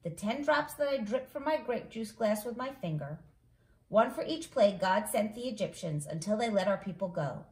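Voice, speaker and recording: Animated woman reading a storybook, echoey room. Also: mid-range voice, normal speed. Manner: animated, acts out dialogue. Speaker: read-along host, storyteller. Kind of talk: expressive children's storybook reading.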